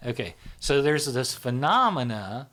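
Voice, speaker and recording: A man hosts a relaxed, casual conversation and tells his stories loosely. He keeps checking in with "right?" and "you know?", and his voice sits in an ordinary middle pitch. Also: a little slow. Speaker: host.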